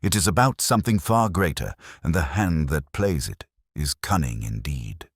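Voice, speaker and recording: A man voicing a brilliant, intense detective in an audiobook, commanding and cryptic, with deliberate, rhetorical delivery. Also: rumbling low, expressive, husky, dry recording, studio quality, heavily acted. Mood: urgent.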